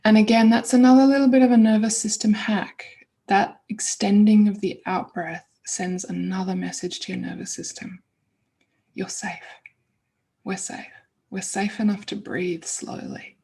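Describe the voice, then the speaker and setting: A woman leading an informal guided meditation in a soothing, unhurried way, breathy and often trailing off toward a whisper. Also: medium pitch, fairly even intonation, warm tone.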